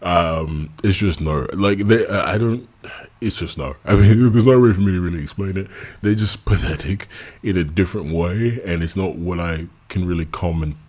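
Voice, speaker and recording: A man's voice: low-pitched, moderate pace, a little mellow. A man from England, hosting a casual subscriber Q&A and giving candid, blunt answers with frequent laughs. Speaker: YouTube channel host.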